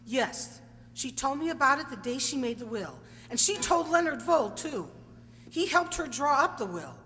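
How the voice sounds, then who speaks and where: A woman's voice: sits high, very animated, moderate pace, pushed and bright, exaggerated voice. A woman playing a resentful older maid in a radio drama, emphatic and disapproving. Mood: indignant. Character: resentful older female maid and companion, indignant. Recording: noisy, reverberant hall recording.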